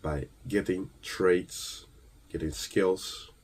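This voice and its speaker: A man from Ukraine delivers an earnest, emphatic motivational monologue, halting between phrases and posing rhetorical questions. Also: low-pitched, steady tone, husky. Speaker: motivational speaker.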